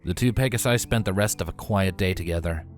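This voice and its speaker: A man's voice: low-pitched, a little fast, soft background music. A man reading scripted story narration as the narrator of an audio storybook.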